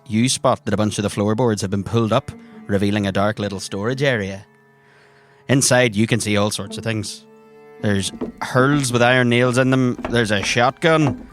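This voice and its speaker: A man's voice: on the low side, fairly bright tone. A man working as game master, narrating scenes descriptively for a tabletop role-playing game.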